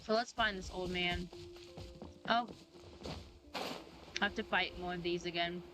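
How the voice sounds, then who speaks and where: A woman's voice: fairly low pitch, fairly even intonation, measured pace, low-quality recording. A woman giving casual, playful livestream commentary on a game, often repeating little catchphrases. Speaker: game streamer. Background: game music.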